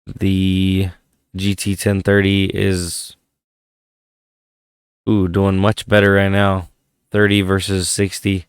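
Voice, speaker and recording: A man's voice: low-pitched, monotone, takes it slowly, pauses often, clean tone, no echo, clean studio sound. A man hosting a casual hardware vlog, rambling and thinking aloud, his voice worn from a head cold. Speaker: tech channel host.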